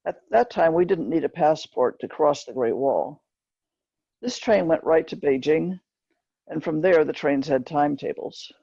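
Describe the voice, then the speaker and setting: A woman reads a story aloud in a mellow, husky voice with a gravelly creak. She tells it plainly, in short measured phrases. The recording is dull and roomy. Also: low-pitched, expressive. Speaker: author reading from a book. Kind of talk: scripted narration, book reading.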